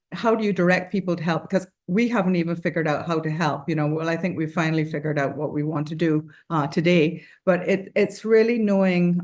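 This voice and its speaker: A woman's voice: on the low side, darkish tone. Earnest rambling woman on a panel. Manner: earnest, rambling with many fillers and restarts. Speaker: charity founder speaking on a panel. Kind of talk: casual panel discussion.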